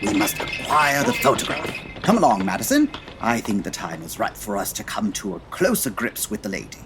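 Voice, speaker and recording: A woman playing a pompous, dryly impatient male Victorian detective with a husky voice and formal, precise diction, in a comedy audio drama. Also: a bass voice, sing-song, fairly quick, a little hiss or hum. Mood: formal, brisk.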